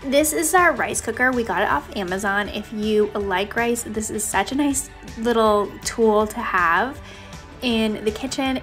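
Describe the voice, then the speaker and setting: A woman's voice: mid-range voice, a bit bright. A young woman giving a casual, chatty voice-over as a home vlogger, talking directly to her viewers. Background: background music.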